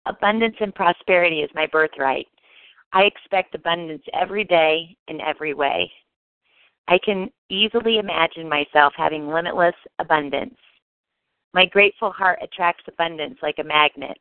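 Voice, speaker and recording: Smooth woman reciting meditation affirmations. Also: lowish voice, dry recording. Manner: measured, declarative delivery. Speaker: guided meditation speaker. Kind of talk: spoken affirmations for guided meditation. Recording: dull, close, dry recording.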